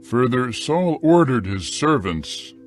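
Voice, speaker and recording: A man narrating a dramatized scripture account in a measured, deliberate storytelling style. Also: medium pitch, big pitch swings, soft background music.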